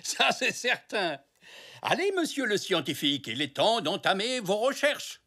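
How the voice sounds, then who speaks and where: A man's voice: high voice, sing-song, a little slow, bright, forceful tone, heavily acted. A man voicing a kindly, encouraging zebra grandfather in a children's cartoon, with an indulgent manner. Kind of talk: children's cartoon dub acting.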